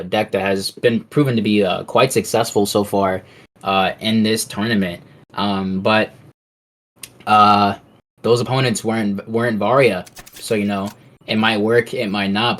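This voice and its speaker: A man's voice: lowish voice, fairly even intonation, normal speed, a bit bright. A man giving live, casual esports commentary in a loose, conversational way, often repeating his phrases.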